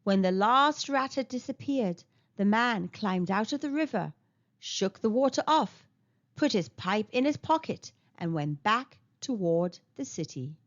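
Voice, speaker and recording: A woman reading a children's story aloud in an expressive storytelling manner. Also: medium pitch, measured pace. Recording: dull lo-fi recording.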